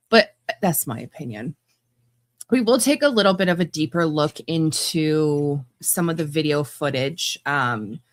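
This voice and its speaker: A woman's voice: low-pitched, fairly bright tone, no room sound. A woman hosting a podcast, giving blunt, casual commentary with laughing asides and frequent restarts. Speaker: podcast host.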